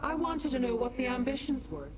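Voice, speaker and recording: An actress playing an aloof, scheming immortal demon woman, haughty and deliberate, in a video game. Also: sits high, a crowd in the background, close to an everyday voice. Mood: coolly intrigued. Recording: noisy game ambience.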